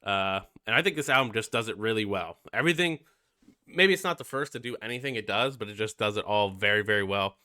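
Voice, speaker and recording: A man's voice: on the low side, lively intonation, quick pace, sharp tone, no echo, clean studio sound. A young man with a stuffy, nasal voice from being sick, casually reviewing a metal album with lots of fillers. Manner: rambling, many fillers and swearing. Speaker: music reviewer.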